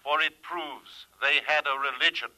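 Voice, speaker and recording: An actor playing a pompous, self-assured scholar in a 1950s radio drama, declaiming through a radio or telephone filter. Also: male voice, on the high side, fairly even intonation, moderate pace, pushed and bright, low-quality recording. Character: learned academic colleague calling in, pompous, sure of himself.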